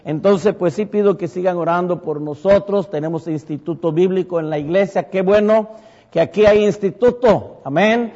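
Middle-aged Puerto Rican pastor, Mexican-tinged accent, slightly high voice, rambling testimony with fillers, muffled sound with long church reverb. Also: male voice, moderate pace, mellow. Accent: Mexican-tinged Puerto Rican Spanish. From Puerto Rico. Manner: rambling, many fillers and self-corrections. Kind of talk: testimony to a congregation. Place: church sanctuary.

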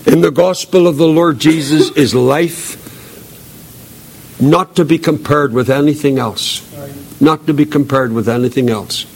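Male preacher, high-pitched for a man. Earnest, emphatic sermon delivery, repeats key phrases with solemn weight. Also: measured pace. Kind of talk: evangelical sermon.

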